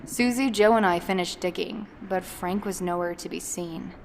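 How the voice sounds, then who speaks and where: A woman's voice: fairly low pitch, normal speed, gravelly, noisy background. A woman playing a self-assured, haughty teenage girl in a gang, telling her story in a knowing, dramatic way. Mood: serious. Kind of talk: scripted dramatic first-person narration.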